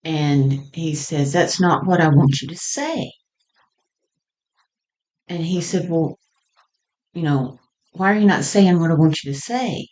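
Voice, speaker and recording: Slow, low-pitched woman reminiscing. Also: darkish tone. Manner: halting and conversational, often chuckling mid-sentence. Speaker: storyteller sharing personal experience. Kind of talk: personal storytelling, reminiscing.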